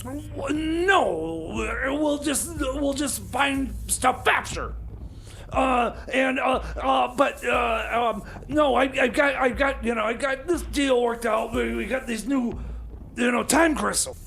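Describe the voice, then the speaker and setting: A man playing an excitable, overconfident time machine mechanic in a comedy radio play, stammering and repeating himself. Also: very high voice, gravelly, a little hiss or hum, full character voice, poor audio. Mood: flustered, eager. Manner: stammering, repetitive, halting. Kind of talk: comedy radio theater, improvised.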